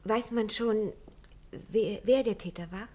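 An actress playing a stubborn, nervous young daughter in a 1950s German radio drama, breathy, hesitant and defensive. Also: female voice, mid-range voice, a little slow, darkish tone, lightly acted. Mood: guarded, nervous.

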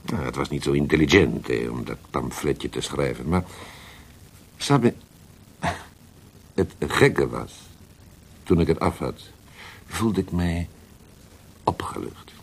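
A worldly Italian poet fallen from favour, in a radio play. His very deep voice is gravelly and swings widely in pitch. He speaks with an Italian accent, theatrical and halting, dropping in Italian words as he schemes. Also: expressive, exaggerated voice. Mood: rueful, wry. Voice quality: gravelly, husky. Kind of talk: radio drama acting.

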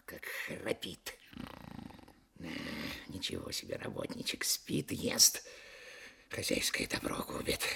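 A woman's voice: a bass voice, creaky, heavily acted. A woman playing a greedy, scheming, easily enraged rich master in a theatrical fairy-tale audio play, wailing and muttering to himself.

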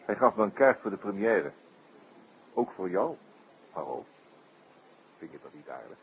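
Casting: sharp, teasing detective, deep and very animated, hesitant wry drawl, old radio play sound. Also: male voice, moderate pace. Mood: wry, secretive. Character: Shadow, sharp, laconic, teasing male detective. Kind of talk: 1960s Dutch radio drama acting. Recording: dull, noisy 1960s radio recording.

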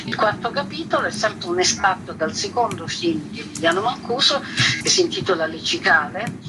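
A woman being interviewed as an exhibition curator, explaining in an earnest, reflective way with searching pauses. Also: mid-range voice, expressive, over loud music. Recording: clearly noisy background.

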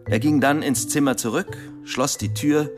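An actor playing a factual police inspector in a radio play, reporting in a matter-of-fact, official manner. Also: male voice, mid-range voice, moderate pace, over music, lightly acted. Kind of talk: German radio play acting.

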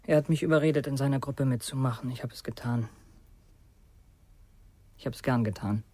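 An actor playing a troubled, distrustful young man in a German radio crime drama, speaking in halting confession. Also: medium pitch, dark tone, no room sound, close to an everyday voice. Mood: halting, confessional.

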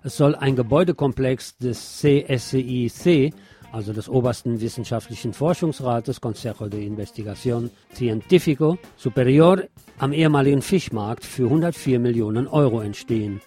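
Male radio presenter, scripted news reading, mellow tone, mid pitch. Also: normal speed, slightly rough, soft background music.

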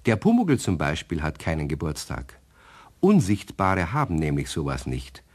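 A man narrating a German radio play as a storyteller, with a deep, husky voice and expressive scripted delivery. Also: normal speed.

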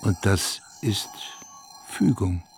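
An actor playing a brooding, reflective narrator from a dying town, in a measured, literary radio play monologue that drops to a hush in places. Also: male voice, fairly low pitch, expressive, creaky, faint music behind, full character voice. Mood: elegiac, resolute.

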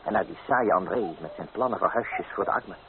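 Actor as an ardent young suitor, tinny sound. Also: male voice, on the low side, fast talker, natural voice. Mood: coaxing, teasing. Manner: ardent, coaxing, dramatic. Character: impetuous, persuasive young student lover, romantic and scornful. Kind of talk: radio play acting. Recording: tinny, noisy old radio play.

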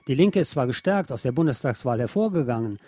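A man's voice: mid-range voice, expressive, fast talker, warm tone, no echo. A man reading scripted political news and commentary as a radio presenter.

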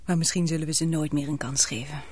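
A woman playing an emotional young English daughter and fiancée in a radio drama, earnest and expressive, with a breathy voice. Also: low-pitched, fast, a little hiss or hum, close to an everyday voice. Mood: anxious, hopeful.

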